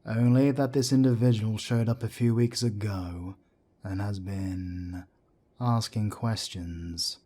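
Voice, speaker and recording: A man plays a grave werewolf elder on the council, his voice very low and dark. He talks slowly and solemnly, with a husky edge to every line. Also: fairly even intonation. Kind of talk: amateur audio drama acting.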